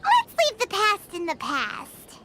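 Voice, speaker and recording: An actress voicing a tiny, excitable, childlike fairy companion in a video game, squeaky and easily indignant. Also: female voice, slow, very noisy, exaggerated voice. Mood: resigned, curious. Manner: squeaky, indignant, talks in third person. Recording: loud game music behind.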